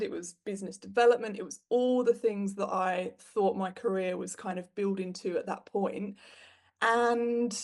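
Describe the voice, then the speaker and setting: Woman, British accent, thirties, frank, chatty, mid-pitched voice. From United Kingdom. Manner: frank and animated, with frequent fillers. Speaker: guest. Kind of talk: candid conversational storytelling.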